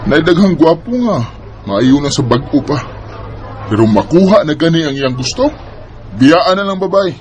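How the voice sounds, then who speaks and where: A man's voice: a little high, expressive, normal speed, darkish tone, a little hiss or hum, natural voice. A man playing an earnest, jealous young boyfriend with a Bisaya Filipino accent in an amateur radio drama. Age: young adult.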